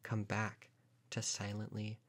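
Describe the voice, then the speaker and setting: A man guiding a meditation in a calm, unhurried, gently instructing manner. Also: fairly low pitch. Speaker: meditation teacher. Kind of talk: guided meditation narration.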